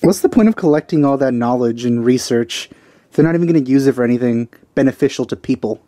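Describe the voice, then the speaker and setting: A man's voice: medium pitch, animated, quick pace, mellow, no echo. A man giving casual commentary as he plays through a video game. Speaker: let's play streamer.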